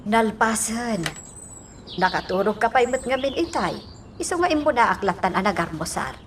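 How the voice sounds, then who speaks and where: An actress playing a practical, caring older aunt who sells at the market in an Ilocano radio drama. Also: female voice, a little high, animated, normal speed, a little hiss or hum, natural voice.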